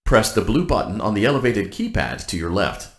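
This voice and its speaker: A man playing a blandly polite, cheerful robotic workplace guide in a game, reading scripted announcements with upbeat corporate formality and deadpan delivery. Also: fairly low pitch, brisk, echoey room.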